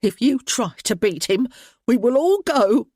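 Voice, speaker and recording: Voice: expressive, unhurried, no echo, clean studio sound. A man voicing a careworn, thin working-class mother whose voice shakes but who stands firm. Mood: defiant, fretful. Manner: tremulous, lower-class speech.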